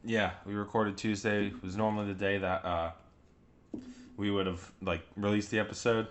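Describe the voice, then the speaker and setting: Laughing, chatty lower-voiced man. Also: flat delivery, moderate pace, slight background noise. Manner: chatty storyteller, laughs often, swears casually. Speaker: podcast co-host. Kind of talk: casual chat.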